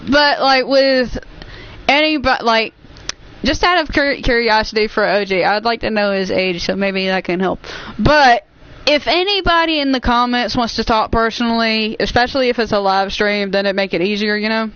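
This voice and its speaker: A young woman of nineteen with a smooth, clear voice chats casually over a game. She talks earnestly and reassuringly, wandering from point to point, on a slightly muffled, lo-fi microphone. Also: a little high, normal speed, fairly bright tone. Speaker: gaming YouTuber hosting a stream. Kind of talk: casual chat over gameplay.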